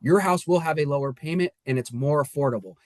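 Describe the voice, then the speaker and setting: An upbeat man speaks as a mortgage lender on a podcast, explaining rates and options in a brisk, eager way. His voice sounds dry and close, with little room around it. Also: on the high side, dry recording. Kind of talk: casual podcast talk. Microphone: remote call.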